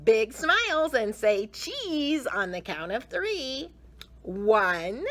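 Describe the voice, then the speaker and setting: Bright, sing-song schoolteacher voiced by a storyteller. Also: female voice, fairly high pitch, very animated, drawn-out words, voice pushed up, full character voice. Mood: cheery. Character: chirpy, sing-song schoolteacher woman marshalling her class.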